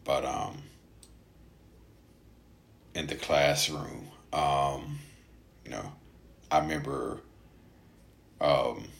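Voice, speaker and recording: A man who stutters, speaking casually in a halting personal monologue with frequent gaps and hesitations, as a podcast host. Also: extremely low pitch, fairly even intonation, gravelly.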